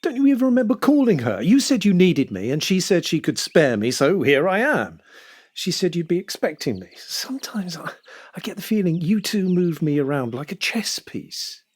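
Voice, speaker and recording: A man with a British accent playing a genial, self-deprecating house husband of fifty in an audio drama, casual and hesitant with a husky voice. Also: high-pitched, sing-song, normal speed, darkish tone. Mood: cheerful, then uneasy.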